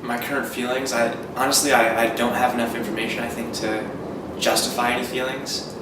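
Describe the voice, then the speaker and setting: A young man answers interview questions hesitantly, restarting phrases and sprinkling in "like". His delivery stays almost completely flat in pitch. He sounds distant from the mic in a very reverberant room with clear background noise. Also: brisk. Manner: hesitant, repeats words, many "like" fillers.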